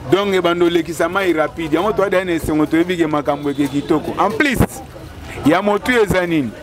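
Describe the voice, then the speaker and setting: Enthusiastic man in his forties at an outdoor market, fairly high voice, busy crowd noise behind him. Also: fairly quick. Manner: emphatic, enthusiastic. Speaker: resident interviewee. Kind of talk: street interview. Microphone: handheld mic held close.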